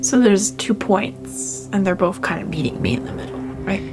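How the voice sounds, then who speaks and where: A woman's voice: medium pitch, animated, moderate pace, over music. A woman chatting casually as a player in a tabletop game, tentatively asking questions and stating her moves.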